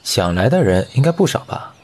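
A man's voice: medium pitch, sing-song, fairly quick, a little mellow. A young man playing a friendly, curious teenage schoolboy in an audio comic drama. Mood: gentle, amused. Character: friendly, curious teenage schoolboy, openly admiring his classmate. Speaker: amateur voice actor.

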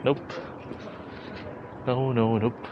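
A man's voice: mid-range voice, level tone, very slow, narrow, tinny audio. A young man giving casual, chatty walking-tour vlog commentary outdoors, thinking aloud as he goes. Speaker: vlogger. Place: outdoors on city streets while walking. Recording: noisy city street, traffic ambience.

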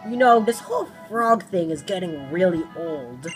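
A woman's voice: on the low side, animated, moderate pace. A woman doing playful read-aloud video game commentary in a theatrical, sarcastic manner. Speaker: let's play host. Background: video game music.

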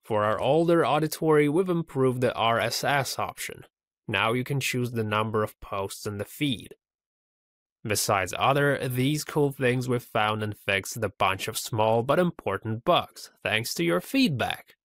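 A man presenting a scripted software tutorial voice-over, upbeat and giving step-by-step instructions. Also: mid-range voice, expressive, a little slow, dry recording, clean studio sound.

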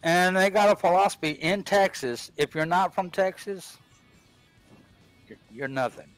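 A man's voice: high-pitched, unhurried, faint music behind. A man talking bluntly on a video call, repeating words as he starts his sentences. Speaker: caller on a panel. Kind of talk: casual chat on a video call.

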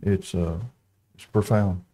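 A man making informal, halting remarks at a board meeting, restarting his phrases. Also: low voice, fairly even intonation, dark tone. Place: school board meeting room.

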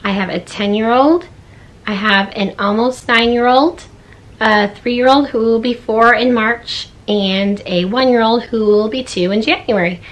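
A woman in her thirties with a hoarse voice, chatting casually to camera about her kids' stocking gifts in a log-home bedroom. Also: a little high, fairly even intonation, measured pace, poor audio. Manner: chatty, upbeat, showing items. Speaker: vlogger.

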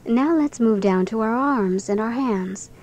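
A woman narrating a guided bedtime relaxation for children in a gentle, soothing, unhurried manner. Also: fairly high pitch. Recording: clearly noisy recording with background ambience.

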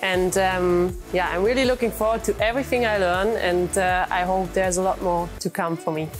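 A woman's voice: fairly low pitch, steady tone, moderate pace. A woman in her early twenties from Germany with a German accent, talking as a rider in a documentary interview. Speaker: show jumping rider. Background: background music.

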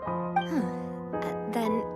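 A woman's voice: fairly high pitch, extremely slow, loud music behind the voice. A woman voicing a self-assured, playful young lady in a video game, grandiose and coaxing. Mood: playful, showy. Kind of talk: scripted video game dialogue.

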